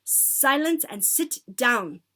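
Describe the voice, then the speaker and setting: A woman voicing a gleefully cruel, commanding witch in a storybook reading, with a theatrical, drawn-out bark. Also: high-pitched, lively intonation, fairly bright tone, pitched above the performer's own voice. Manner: theatrical, barking, drawn-out delivery.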